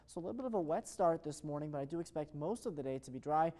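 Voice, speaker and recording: A man's voice: a little high, lively intonation, rapid-fire, warm tone. An American man presenting an ad-libbed television weather forecast in an upbeat, chatty way.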